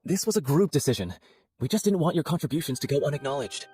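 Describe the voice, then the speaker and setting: Fast, lively young stage actor, played by a man. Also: a little high, faint music behind, lightly acted. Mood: placating. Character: eager young male theater troupe actor. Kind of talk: scripted video game voice acting.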